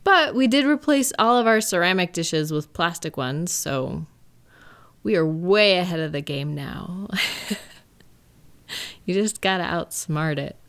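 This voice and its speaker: A woman acting a gentle, caring partner in an intimate comfort roleplay, speaking in a tender, unhurried, reassuring way. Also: fairly low pitch, animated, clean tone. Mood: playful. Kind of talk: roleplay comfort audio, intimate one-sided conversation.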